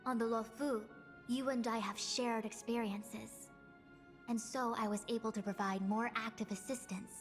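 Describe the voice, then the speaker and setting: A woman's voice: fairly high pitch, fairly even intonation, normal speed, long reverb tail, soft background music, close to an everyday voice. A woman voicing a composed, erudite diviner in a video game, speaking formally and analytically. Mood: calm, matter-of-fact.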